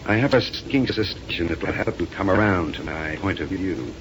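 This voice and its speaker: Low, sneering space villain, noisy old radio. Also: male voice, animated, a little fast. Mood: smug scheming. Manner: mocking, condescending, menacing. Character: sneering, threatening space villain with a rocket pistol. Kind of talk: 1930s radio serial acting. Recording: noisy 1930s radio recording.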